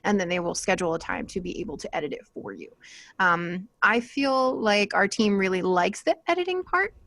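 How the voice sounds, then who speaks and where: A woman's voice: medium pitch, very animated, a little fast, fairly bright tone, no echo. Plain-spoken woman moderator, dry close sound. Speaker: podcast panel moderator. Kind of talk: casual advice-giving panel talk.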